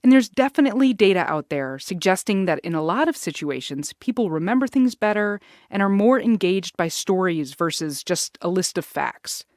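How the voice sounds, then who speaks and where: A woman's voice: medium pitch, animated, normal speed, few pauses, darkish tone, no room sound, clean studio sound. A woman with an American accent hosting a science podcast in a casual, playful, conversational way.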